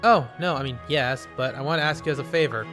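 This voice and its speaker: Guy doing a cheery young princess, pitched up and eager, game music playing. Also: fast, close to an everyday voice.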